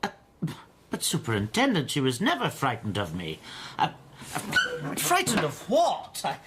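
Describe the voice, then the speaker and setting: An actor playing a meek, anxious stamp dealer in a radio drama, halting and defensive as he speaks. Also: a little high, huge pitch swings, heavy background noise. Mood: stunned, distressed. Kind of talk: BBC radio drama dialogue.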